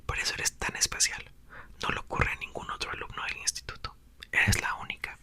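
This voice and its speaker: A man whispering the lines of a calm, patient inventor father in an ASMR book reading. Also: brisk. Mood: explaining. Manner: soft, whispered.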